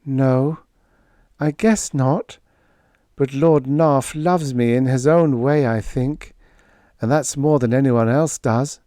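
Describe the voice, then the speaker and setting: A wistful young princess, as a male narrator plays her in an audiobook, lovelorn and bound by duty. The voice is lifted well up in pitch, earnest and plaintive, and closely recorded with a dry sound. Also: slow pace, dark tone, no echo, close to an everyday voice. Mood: resigned. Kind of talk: audiobook character voice.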